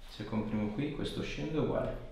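Guy chiropractor, creaky voice, short repeated commands n questions, super echoey room, mic kinda far. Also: mid-range voice, fairly quick, a little mellow. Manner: brief, directive, repetitive phrasing. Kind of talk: hands-on clinical exam, short questions and instructions. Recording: very echoey room, distant mic, faint noise.

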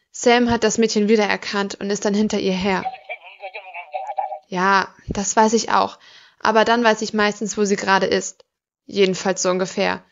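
A woman's voice: mid-range voice, lo-fi sound, close to an everyday voice. A woman playing a worried mother in a radio play, hesitant and anxious in a naturalistic way.

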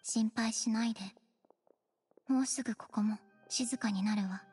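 Cool, deadpan young girl. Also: a little high, husky. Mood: hushed, cool. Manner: deadpan, measured, quietly pointed. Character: cool, composed young cat-eared magician's assistant girl. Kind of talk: Japanese anime game voice acting. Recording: faint music behind the voice.

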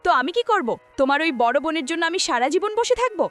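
High, swooping vengeful ghost woman voiced by an actress. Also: very animated, fast talker, soft background music. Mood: hurt, angry. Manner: dramatic, accusing, menacing. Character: vengeful ghost of a jilted young woman, bitter and menacing. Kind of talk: melodramatic horror cartoon dialogue.

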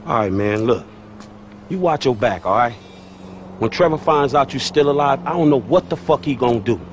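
An actor playing a streetwise, loyal young American hustler in a video game. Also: male voice, mid-range voice, animated, moderate pace, faint music behind, lightly acted. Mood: concerned, urgent. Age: young adult. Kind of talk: cinematic video game acting.

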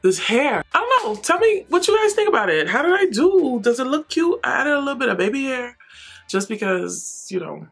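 Thirties woman, beauty vlogger, casual and playful, husky tone over faint music. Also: high-pitched, moderate pace. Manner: chatty, playful, excitable. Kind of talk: casual wig tutorial and chat. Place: bedroom with shelves, indoors.

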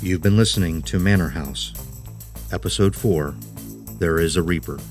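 Low-voiced man announcing credits. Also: normal speed, darkish tone, faint music behind. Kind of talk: scripted podcast outro and credits.

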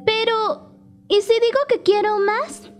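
A woman voicing an eager, excitable first-year high school girl in a fan dub. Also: slow pace. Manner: eager, squealing. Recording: faint anime music behind.

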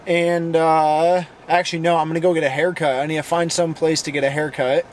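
A tired young man with a hoarse, fading voice, rambling casually through a vlog wrap-up with lots of fillers. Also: fairly high pitch, flat delivery, brisk, a little hiss or hum. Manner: rambling, filler-heavy self-talk. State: hoarse, losing voice. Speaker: vlogger.

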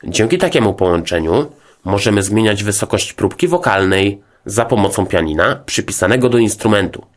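A man narrating a scripted tutorial as a music software instructor, in a formal, methodical, explanatory way. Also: on the low side, lively intonation, moderate pace.

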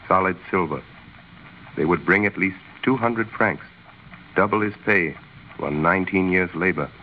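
An actor narrating a 1930s radio drama as a grave storyteller, solemn, deliberate and theatrical. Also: male voice, lowish voice. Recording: dull, noisy 1930s radio recording.

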